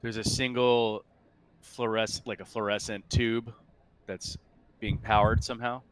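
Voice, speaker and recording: Low-voiced man running a tabletop game. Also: flat delivery, unhurried, smooth. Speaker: game master. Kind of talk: improvised tabletop game narration. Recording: faint background noise.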